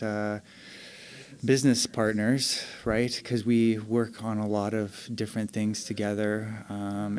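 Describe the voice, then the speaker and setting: A man hosting a casual interview, relaxed and affirming, with many fillers as he sets up questions. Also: lowish voice. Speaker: podcast host.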